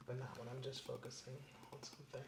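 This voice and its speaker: A woman's voice: rumbling low, flat delivery, fast, darkish tone. A woman playing a patient in a medical exam roleplay, giving casual, conversational and slightly hesitant answers. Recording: echoey room with clear background noise.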